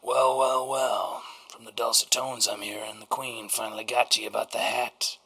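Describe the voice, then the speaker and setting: A man voicing a contemplative gangster right-hand man in an audio drama, speaking in a measured, cagey drawl. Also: medium pitch, flat delivery, a bit bright, pitched above the performer's own voice, rough recording. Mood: wry, teasing. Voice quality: smooth drawl.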